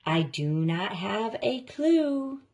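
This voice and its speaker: Very slow-paced woman reading a children's story. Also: medium pitch. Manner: slow, deliberate, expressive delivery of rhyming lines. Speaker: story reader. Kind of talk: children's read-aloud storytelling.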